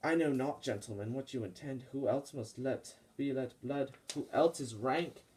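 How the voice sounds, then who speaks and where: A man's voice: medium pitch, moderate pace, a little mellow, natural voice, low-quality recording. A man playing a grieving, vengeful Roman nobleman in a puppet-show Shakespeare, declaiming verse with fervour. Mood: solemn, defiant. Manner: declamatory, impassioned.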